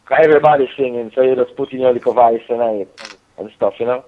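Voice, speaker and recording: A man's voice: medium pitch, steady tone, quick pace. Laid-back Jamaican man, lo-fi line. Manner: laid-back, peppered with "you know" and "yeah, man". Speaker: reggae singer, guest. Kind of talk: casual interview over a call.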